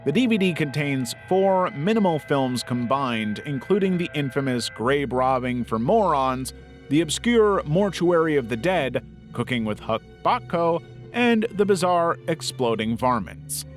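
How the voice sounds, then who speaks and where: Male video essayist, lively pitch, background music. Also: unhurried. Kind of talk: scripted documentary narration.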